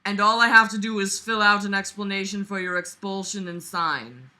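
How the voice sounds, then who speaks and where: Stern male principal in a story reading played by a woman, bright edge, cold and accusing. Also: mid-range voice, normal speed.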